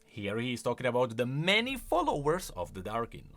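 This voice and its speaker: Animated, bright-voiced man giving commentary. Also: medium pitch, brisk, faint music behind. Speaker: video host. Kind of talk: casual game lore commentary.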